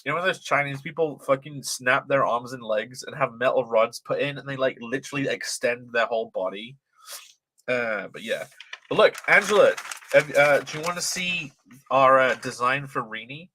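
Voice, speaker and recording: A man's voice: mid-range voice, moderate pace, pushed and bright, a little hiss or hum, low-quality recording. A young man from Britain with a light British, transatlantic-tinged accent, chatting casually on a livestream, reading chat aloud, swearing freely and laughing often.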